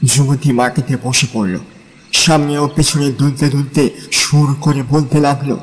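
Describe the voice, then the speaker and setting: A man's voice: medium pitch, fairly even intonation, measured pace. Male dramatic audio story narrator.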